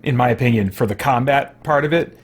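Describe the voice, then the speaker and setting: A man's voice: medium pitch, a little fast, husky. A man hosting a gaming livestream, chatting in a casual, rambling, easygoing way. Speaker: livestream host, gamer. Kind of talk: casual livestream gameplay chat.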